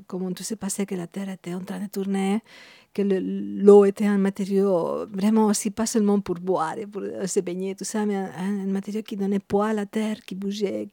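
A woman in her fifties from Spain, speaking with a Spanish accent, reflective and halting, with many restarts. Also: mid-range voice, gravelly, warm tone, no echo, studio quality. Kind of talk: reflective interview talk.

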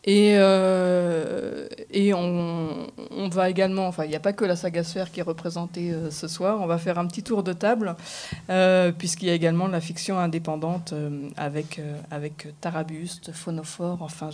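A woman's voice: lowish voice, fairly even intonation, normal speed, darkish tone. A woman hosting an unscripted round-table discussion, with hesitant, broken-up phrasing. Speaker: radio show presenter.

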